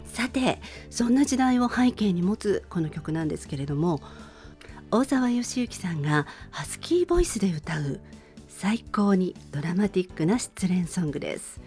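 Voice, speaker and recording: Middle aged lady radio host doing a polite chatty song intro, average pitch, bit of music under her. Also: sing-song, normal speed, a little mellow. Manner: polite, conversational delivery, addressing listeners. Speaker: radio show host and presenter.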